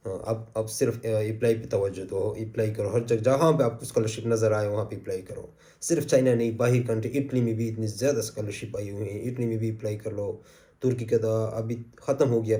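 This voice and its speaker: A man with a sore throat, giving casual advice as a channel host, with frequent "uh" pauses. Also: fairly low pitch, dark tone. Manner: easygoing, repeats points, frequent "uh" fillers. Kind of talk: casual advice talk to camera.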